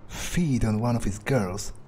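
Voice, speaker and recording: A man's voice: medium pitch, lively intonation, unhurried, mellow, a little hiss or hum. A man playing a game in character, musing and muttering his thoughts aloud. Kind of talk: in-character gameplay commentary, thinking aloud. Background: faint game music.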